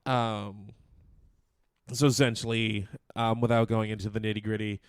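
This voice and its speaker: Smooth-voiced man chatting, close and dry. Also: fairly low pitch, flat delivery, normal speed, dry recording. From Canada. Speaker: co-host of a local music and chat radio show; musician. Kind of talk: casual unscripted news chat.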